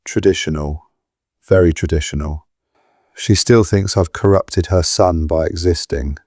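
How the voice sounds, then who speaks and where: An actor playing an anxious, protective husband in an audio drama, muttering with urgency. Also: male voice, sits low, measured pace, a little mellow, no room sound, studio quality. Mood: bitter, uneasy. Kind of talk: full-cast audio drama acting.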